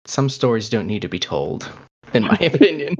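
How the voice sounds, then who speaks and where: A man's voice: mid-range voice, huge pitch swings, normal speed, dull audio. A man co-hosting a podcast in casual chat, talking in a hesitant, rambling way. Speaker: podcast co-host.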